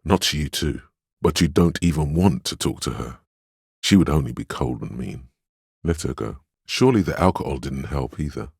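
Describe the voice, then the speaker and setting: Stern inner willpower voice for a game, super deep n husky, measured, dry sarcasm, scolds you. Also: male voice, expressive, darkish tone, no echo, full character voice. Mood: admonishing. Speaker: voice actor for a video game. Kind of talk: scripted second-person game narration, inner voice.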